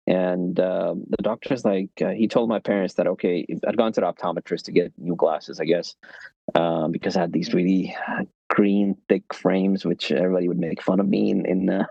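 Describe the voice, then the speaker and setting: Guy from pakistan, low raspy-fry voice, chill explainer, phone mic, kinda muffled. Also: moderate pace, no echo. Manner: relaxed, explanatory, self-joking. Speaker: guest, tech founder. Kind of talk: casual interview storytelling. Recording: dull lo-fi, dry sound.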